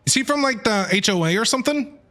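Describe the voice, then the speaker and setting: A man giving casual, excited, playful commentary as a game streamer, often exclaiming and repeating himself. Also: high voice, fast.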